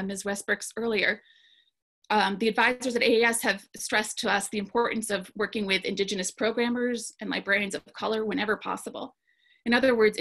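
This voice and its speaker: A woman's voice: mid-range voice, fairly bright tone. A woman presenting her slides to an online conference panel. She speaks earnestly, with frequent fillers and restarts.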